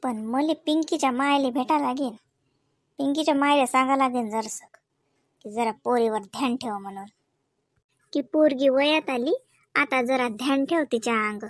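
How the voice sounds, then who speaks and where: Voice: high voice, normal speed, pauses often, dry recording. A performer voicing a nosy, suspicious village aunt in a comedy cartoon, nagging with pointed questions in a rural Vidarbha accent. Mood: suspicious, brooding. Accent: rural Maharashtra, Vidarbha.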